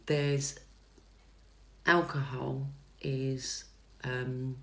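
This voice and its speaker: A middle-aged woman, a psychic tarot reader chatting on a livestream and answering comments in a soft, calming voice, hesitant with many fillers and restarts. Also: a bass voice, drawn-out words. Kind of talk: casual livestream chat answering viewer comments. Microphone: phone livestream mic.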